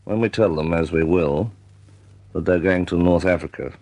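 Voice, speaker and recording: Deep-voiced, measured senior RAF officer. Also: male voice, a little mellow. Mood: shrewd, wry. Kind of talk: wartime radio drama acting.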